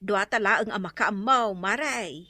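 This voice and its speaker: A woman's voice: mid-range voice, a little slow, sharp tone, no room sound, clean studio sound. A woman with an Ilocano accent playing an inquisitive, easily amazed gossip in a comedy radio drama. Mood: curious, amazed. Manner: inquisitive, exclamatory.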